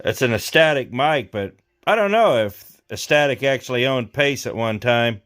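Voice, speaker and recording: A man hosting a repair vlog, talking casually and thinking aloud in an unhurried way as he demonstrates hands-on work. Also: medium pitch, expressive, fairly bright tone. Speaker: host of a radio repair vlog.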